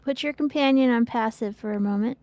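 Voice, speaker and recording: A woman's voice: fairly high pitch, fairly quick, no echo, dull audio. A woman chatting casually as she plays a video game as co-host of a livestream. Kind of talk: casual chat while playing a video game.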